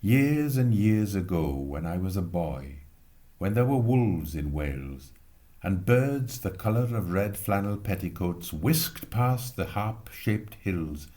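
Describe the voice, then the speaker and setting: Man, low voice, expressive literary narration, story reader. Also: slow pace.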